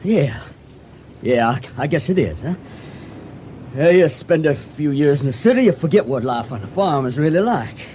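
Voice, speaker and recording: A man's voice: on the high side, expressive, moderate pace, warm tone, close to an everyday voice. A man playing cocky, menacing young crook, noisy radio play. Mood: taunting. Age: young adult. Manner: taunting, folksy, slangy. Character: menacing, sneering young crook with folksy cockiness. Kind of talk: old-time radio drama acting. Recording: noisy old radio drama recording.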